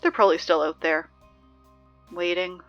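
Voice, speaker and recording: A woman playing an anxious, wry high school girl reading morning announcements in an audio drama, rambling with sardonic, hesitant asides. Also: steady tone, normal speed, highs cut off, faint music behind, close to an everyday voice. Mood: awkward, uneasy.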